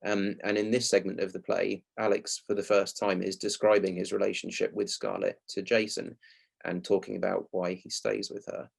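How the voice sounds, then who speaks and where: A man's voice: sits low, flat delivery, normal speed, creaky, slightly rough. A man giving a prepared talk as the presenter of a project. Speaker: speaker presenting a radio play project.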